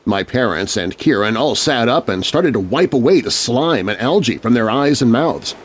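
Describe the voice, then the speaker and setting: A man narrating a scary children's story in an expressive, suspenseful, scripted style. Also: mid-range voice, moderate pace, a little hiss or hum. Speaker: narrator, voice artist.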